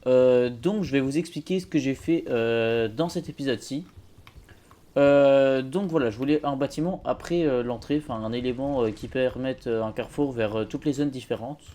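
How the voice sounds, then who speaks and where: A man giving a casual walkthrough commentary of a game build as host, speaking in a hesitant, halting way. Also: medium pitch, warm tone. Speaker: host presenting his zoo build.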